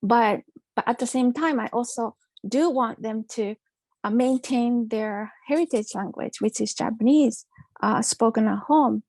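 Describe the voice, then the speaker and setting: A woman's voice: fairly high pitch, a little slow, darkish tone, dry recording. A woman from Hawaii asking a question at an online talk, hesitant with many fillers. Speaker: audience member asking a question.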